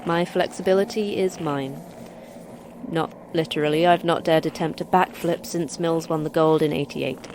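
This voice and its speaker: A woman's voice: low-pitched, fairly even intonation, normal speed, noisy background. A woman playing a brusque, sardonic, talkative commander in a sci-fi horror audio drama. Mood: dry, teasing. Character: wry, brusque female commander of an Antarctic monster facility.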